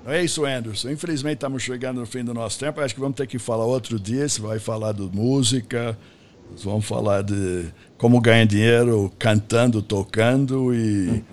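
Older guy hosting a podcast, rambling casual chat, a bit of rumble in the background. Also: moderate pace. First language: English. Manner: rambling, checks in with "tá?". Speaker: podcast host.

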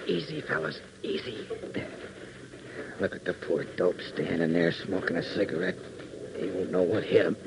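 An actor playing a bold, alert young adventure hero in a 1940s radio serial, speaking in an urgent, slangy, hushed way. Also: male voice, sits low, moderate pace, creaky, pauses often. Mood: hushed, tense. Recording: very noisy 1940s radio recording.

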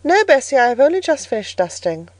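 A woman's voice: high-pitched, sing-song, fast, dry recording, voice pushed up. A woman voicing a timid but frank ten-year-old girl who answers politely and plainly in an audiobook story. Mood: meek.

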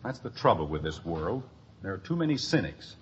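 A man's voice: low-pitched, very animated, fairly quick, dull audio. An American actor playing a bossy, scheming middle-aged suburban father in a wry, theatrical 1950s radio sitcom style. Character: exasperated, scheming suburban father putting on cheer. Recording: noisy, band-limited old radio recording.

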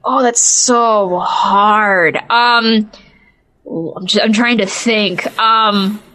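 A woman's voice: medium pitch, steady tone, extremely slow, sharp tone, poor audio. A woman co-hosting a podcast in casual chat, often laughing as she talks. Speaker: podcast co-host. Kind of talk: casual chat about games and films.

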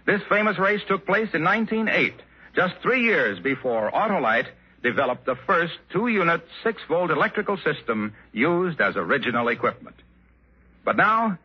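A man's voice: on the high side, slightly rough. A man with an American accent reading scripted announcements and sponsor copy in 1950s radio announcing style. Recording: muffled 1950s radio broadcast, some music.

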